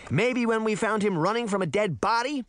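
A man voices a bossy storybook girl, the older sister who has had enough. The voice is raised high and very lively in pitch. She is sharp-tongued, sarcastic and insistent, in a dry recording. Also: fairly quick, no room sound. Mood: scornful anger.

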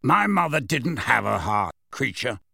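A man's voice: medium pitch, big pitch swings, measured pace, gravelly, no echo, clean studio sound, exaggerated voice. A man voicing a proud grown man who speaks coldly and impatiently, raised a bit above his own voice. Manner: sharp, cold and impatient. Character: proud cold adult man, impatient and curt.

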